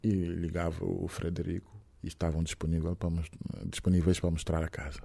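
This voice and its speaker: A middle-aged man from Senegal with a French-tinged Senegalese accent, answering questions in an interview in a measured, reflective way with frequent hesitations. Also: low-pitched, completely flat delivery, creaky, warm tone. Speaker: guest. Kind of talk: interview conversation.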